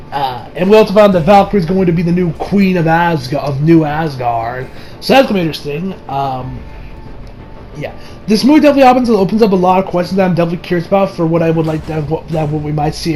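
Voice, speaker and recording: A young man giving a casual, rambling movie review as an excitable, slangy fan who trails off into asides. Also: fairly high pitch, fast talker. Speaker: video reviewer. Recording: music behind the voice. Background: music.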